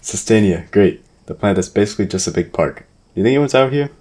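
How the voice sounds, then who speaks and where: A man playing a gruff, sardonic mercenary radio operator in a sci-fi audio drama, with a matter-of-fact delivery. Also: on the low side, animated, fast talker, a little mellow.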